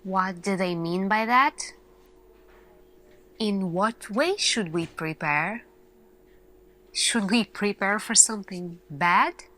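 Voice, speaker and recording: A woman playing an earnest lead scientist in an ASMR roleplay, speaking deliberately in short phrases with dramatic pauses. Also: mid-range voice, pushed and bright, poor audio. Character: earnest female lead scientist addressing her team. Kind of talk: slow ASMR roleplay monologue.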